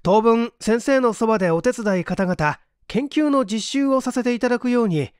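A man's voice: extremely high pitch, animated, moderate pace, clear, resonant tone, dry recording, studio quality, voice pushed up. A man voicing an earnest, polite young university student in an audiobook, speaking formally.

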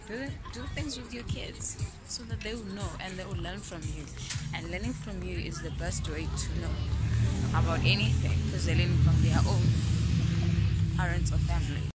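A young woman from South Africa, her voice sitting a little low, chats casually and straight to her viewers. She is recorded outdoors at a garden market on a phone held at arm's length. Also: moderate pace, loud music behind the voice. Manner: chatty, addresses viewers directly. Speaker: vlogger. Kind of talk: casual vlog narration.

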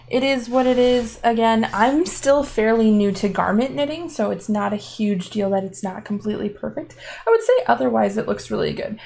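A woman's voice: on the high side, gravelly. A woman in her twenties chatting casually as host of a knitting podcast, laughing often and filling gaps with ums, filmed from an armchair at home. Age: 20s. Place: living room armchair at home.

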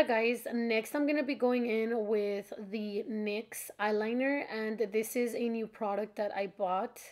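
A woman's voice: medium pitch, darkish tone. A young woman giving a casual, chatty makeup tutorial voice-over in an informal, friendly-to-viewers way, sounding slightly stuffed up from allergies. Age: young adult.

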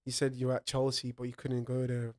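A man's voice: mid-range voice, monotone, a little fast, a little mellow. A young man from Ireland hosting a podcast, asking questions in a laid-back, slangy way in a small studio on a desk mic. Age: young adult. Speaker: podcast host. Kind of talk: casual interview. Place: small studio with wooden shelves. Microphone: desk-mounted podcast mic.

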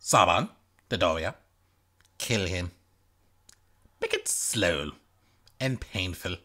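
A man's voice: sits low, animated, slow, pushed and bright, exaggerated voice. A man voicing a touchy, cruel villain with a menacing, theatrical delivery. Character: touchy, cruel villain ordering a slow killing.

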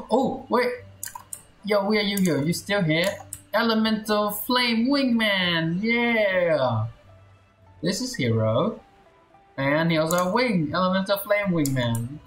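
Woman, game streamer, low pitch, slow casual commentary, music in background. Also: animated.

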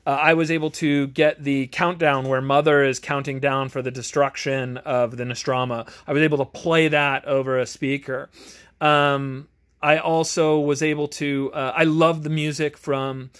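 A man giving a casual, unscripted game review in a halting way, searching for words as he goes. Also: medium pitch, level tone, a bit bright. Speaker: tabletop game reviewer and game master. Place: home garage.

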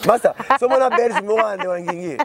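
A man in his thirties with a Congolese accent, an interview guest talking animatedly outdoors on a lapel mic. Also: high voice. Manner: gestures constantly, rapid and emphatic. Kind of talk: animated chat mixing French and Lingala. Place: outdoors beside a parked car.

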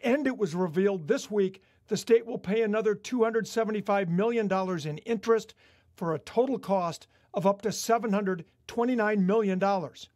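A middle-aged man giving a steady, emphatic live news report outdoors at night with a handheld mic. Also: high-pitched, moderate pace, no room sound, clean studio sound. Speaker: chief political reporter. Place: outdoors at night by a government building. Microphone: handheld station mic.

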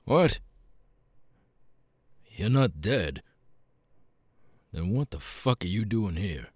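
A man voicing a gruff, world-weary cat demon bartender in an ASMR audio roleplay, raspy and laconic, with casual swearing and long pauses. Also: big pitch swings, no echo, heavily acted. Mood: surprised, irritated. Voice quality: raspy, very deep gravelly voice. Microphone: close, dry studio mic.

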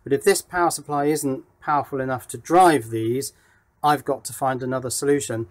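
A man's voice: medium pitch, steady tone, brisk. A man giving a casual hands-on electronics demonstration, thinking aloud as he works. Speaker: electronics hobbyist presenter. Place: indoor workshop.

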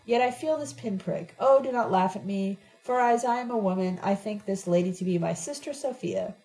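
A woman's voice: mid-range voice, normal speed, warm tone, lightly acted, low-quality recording. A woman voicing an intense, theatrical young woman who declaims dramatically in an audiobook. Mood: theatrical pleading.

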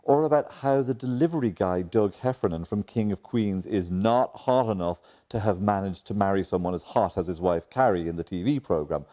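A man's voice: lowish voice, moderate pace, few pauses, dark tone. An Irish man in his forties performing a dramatic book reading. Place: indoor lounge, seated.

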